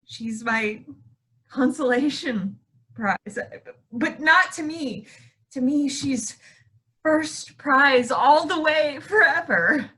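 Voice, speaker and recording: Casting: woman playing desperate, grieving wife coming apart, high-pitched, slow delivery, stage drama. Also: lively intonation, bright, forceful tone, exaggerated voice. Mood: brittle, fragile. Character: grief-stricken, unhinged wife clinging to stolen baby deer. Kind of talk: staged play reading.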